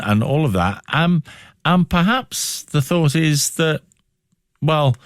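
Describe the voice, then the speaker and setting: A man's voice: mid-range voice, no room sound, studio quality. A man with a British accent hosting a conversational radio interview, reflective and given to posing questions. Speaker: radio show host.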